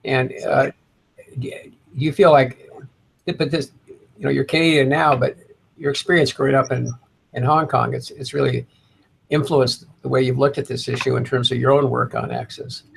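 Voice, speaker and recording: A man asking unscripted interview questions in a halting, tentative way. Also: medium pitch, flat delivery, gravelly, slightly rough, low-quality recording.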